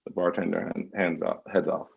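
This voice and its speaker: A man narrates stage directions from a script in a deep voice full of creak. The tone is husky, and the recording is a little dull. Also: fairly even intonation, brisk. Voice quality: gravelly, husky.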